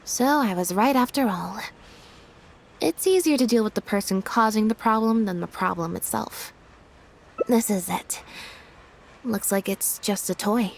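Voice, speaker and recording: A woman voicing a calm, understated young woman in a video game, speaking in a measured deadpan. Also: mid-range voice, creaky, slight background noise. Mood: matter-of-fact.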